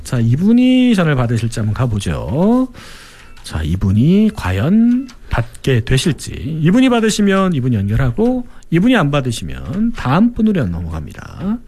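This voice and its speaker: A male radio host with a fairly high voice that swings up and down a lot. He chats with callers and co-hosts in an easygoing way and laughs often. He is heard through a studio microphone. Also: moderate pace. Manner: animated, laughs often, chatty. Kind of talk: lively call-in radio chat.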